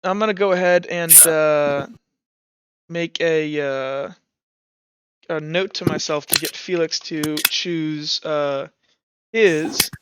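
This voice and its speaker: A man's voice: fairly high pitch, takes it slowly, pauses often, noisy background. A man speaking casually as game master of a tabletop roleplay game, thinking aloud as he goes.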